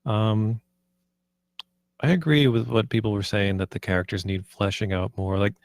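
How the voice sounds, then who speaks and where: A man giving polite, casual feedback, talking in a measured and tentative way. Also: lowish voice, fairly even intonation, gravelly, dry recording, clean studio sound. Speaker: voice actor giving script feedback.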